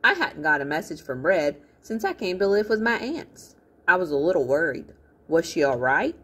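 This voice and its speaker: Female storybook reader, lower-pitched and slightly husky, lively intonation, expressive delivery. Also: normal speed. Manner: animated, expressive reading. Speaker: teacher reading a book aloud.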